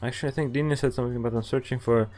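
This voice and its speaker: A man's voice: mid-range voice, steady tone, very fast, a little mellow. A man streaming a visual novel, reading it aloud and adding casual, playful, reactive commentary. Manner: playful, reactive, acts out dialogue. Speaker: game streamer. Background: game music.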